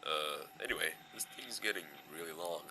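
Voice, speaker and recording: A man in a fan audio drama playing a gentle, weary, laid-back male skeleton, speaking in a hesitant, casual, understated way. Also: low voice, animated, normal speed, a little hiss or hum, full character voice, poor audio. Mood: gentle, uneasy.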